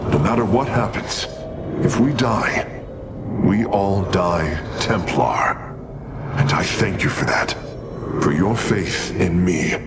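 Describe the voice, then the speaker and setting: A man playing a loyal, worried alien engineer in a video game, formal, sincere and expressive. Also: takes it slowly, pauses often, over loud music. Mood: earnest, devoted. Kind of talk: dramatic video game cutscene acting. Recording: loud ambient rumble behind.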